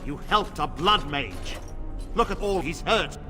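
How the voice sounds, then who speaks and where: An actor playing a stern, suspicious templar knight commander in a fantasy game, formal, commanding and accusatory. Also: male voice, on the high side, animated, a little slow. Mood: furious. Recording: reverberant game dialogue with music.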